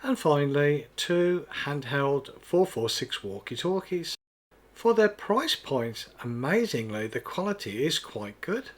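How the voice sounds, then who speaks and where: A man's voice: a little high, very animated, a little slow. A man narrating a scripted product demonstration in a methodical, step-by-step explaining manner. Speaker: product reviewer.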